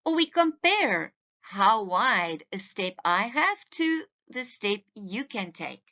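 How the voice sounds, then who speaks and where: A woman's voice: medium pitch, very animated, takes it slowly, sharp tone, dull audio. A woman teacher giving a scripted presentation to parents in a didactic, carefully enunciated way.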